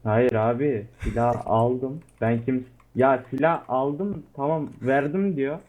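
A man's voice: mid-range voice, takes it slowly, phone-quality sound. A man in casual game voice chat, speaking defensively as a player protesting his case. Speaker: player in online roleplay game.

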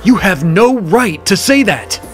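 Casting: hot-tempered male boss, petty and scornful, audio drama with soft music underneath. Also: high-pitched, lively intonation, measured pace. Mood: exasperated, defensive.

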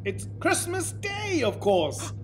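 An actor playing a jolly, kindly fellow passenger in an audio drama, with an avuncular, playful manner. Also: male voice, very high-pitched, wildly swooping pitch, slow, noisy background, exaggerated voice. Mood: amused, kindly. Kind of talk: theatrical audio drama acting.

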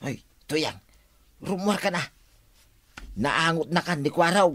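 A lively, swooping village husband, exasperated and put-upon. He grumbles his way through the lines in radio comedy style. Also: on the high side, slow, a little hiss or hum. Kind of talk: Ilocano radio comedy drama acting.

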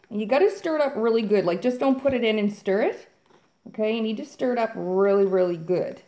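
A woman's voice: mid-range voice, mellow, roomy sound. A woman hosting a fluid art channel, chatting casually and upbeat to viewers while she mixes paint. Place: home art studio, indoors.

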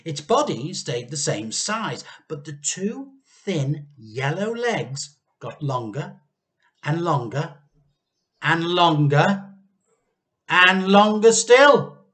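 Male teacher as storyteller, fifties: animated, emphatic, forceful, expressive narration at a home webcam. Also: fairly high pitch. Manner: animated, emphatic, drawn-out key words. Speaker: teacher reading aloud. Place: small living room indoors.

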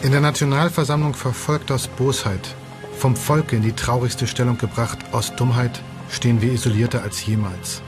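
An actor as an embittered revolutionary politician in a historical docudrama, reciting his letter. The voice has a middle pitch, with clear music underneath. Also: steady tone, normal speed, close to an everyday voice. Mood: bitter.

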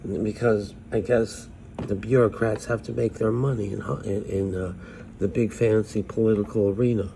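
A man's voice: on the low side, steady tone, normal speed, vocal fry, mellow, a little hiss or hum, poor audio. A man on a phone camera playing a struggling homeless fortune-teller woman, talking in a pleading, plaintive way. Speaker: vlogger in character. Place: small room indoors. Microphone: phone at arm's length.

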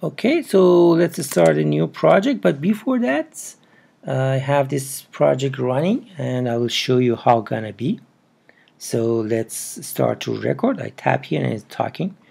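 A man with a non-native English accent narrates a casual step-by-step tutorial, with halting, broken phrasing. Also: medium pitch, vocal fry, a little mellow, husky. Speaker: programming tutorial presenter. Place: indoors at a computer.

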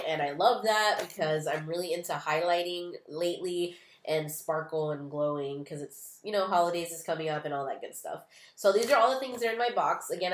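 Stuffy-nosed young woman, echoey room. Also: lowish voice. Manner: chatty, rambling, many "um" and "like" fillers. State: sick with a stuffy, nasal voice. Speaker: beauty vlogger. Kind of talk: casual unboxing chat. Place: small room indoors.

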